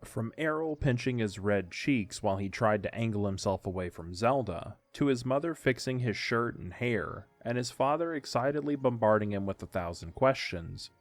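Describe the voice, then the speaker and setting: A man's voice: fairly low pitch, no room sound. A man reading scripted story narration for an audio drama.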